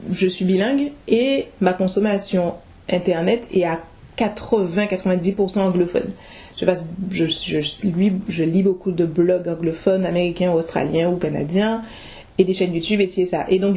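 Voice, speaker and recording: A woman's voice: on the low side, warm tone. A young woman vlogging casually about online business, thinking aloud with restarts and rhetorical questions. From France. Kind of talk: casual talking-head vlog.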